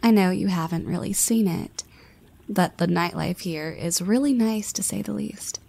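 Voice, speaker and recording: A woman's voice: mid-range voice, normal speed, vocal fry, dark tone. A woman voicing a confident young woman who teases her friend, with playful half-whispered asides. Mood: teasing. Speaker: voice actress. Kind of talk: scripted audio roleplay.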